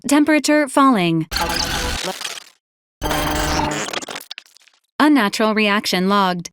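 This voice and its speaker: A woman's voice: mid-range voice, background noise, close to an everyday voice. Woman as a polite, precise robot companion. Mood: flat reporting. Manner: formal, precise, clipped machine-like phrasing. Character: polite, dutiful female robot medical-combat companion, clinically upbeat. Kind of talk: scripted video game voice lines.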